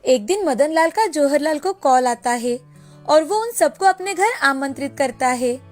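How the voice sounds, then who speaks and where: A woman's voice: high-pitched, lively intonation, a little slow. A woman narrating a scripted storybook tale as the storyteller, with music behind her. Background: background music.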